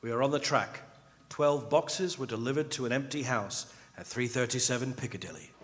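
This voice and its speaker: A man's voice: mid-range voice, moderate pace, very noisy, lightly acted. A man playing an earnest young husband turned vampire hunter, narrating a journal in terse radio drama style. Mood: sober, determined. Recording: live theater stage, long hall reverb.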